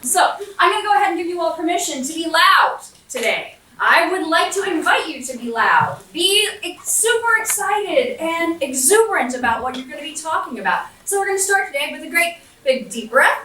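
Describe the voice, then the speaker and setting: An American woman from West Virginia giving a lively motivational talk to an audience, speaking loudly and projecting her voice while walking around. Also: very high voice, brisk, few pauses. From Southwestern West Virginia, USA. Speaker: guest speaker and center director. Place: large indoor event room. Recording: echoey room, voice from far off, faint noise.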